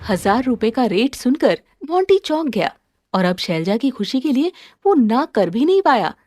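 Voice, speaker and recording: A woman's voice: fairly high pitch, big pitch swings, normal speed, a little mellow, no echo. A woman reading a scripted moral story as its narrator, with music under her voice.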